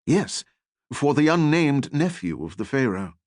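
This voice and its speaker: A man's voice: mid-range voice, sing-song, measured pace, darkish tone, no room sound, studio quality, voice pushed up. A man voicing an eager elderly archaeology professor, courteous and scholarly, who lectures with animation in an audiobook.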